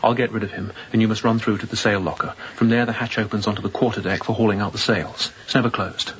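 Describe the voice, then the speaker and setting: An actor playing a resolute young sea captain in a radio drama, giving terse, authoritative orders. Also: male voice, fairly low pitch, steady tone, quick pace, fairly bright tone, natural voice. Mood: urgent, hushed. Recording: dull lo-fi radio drama, faint noise.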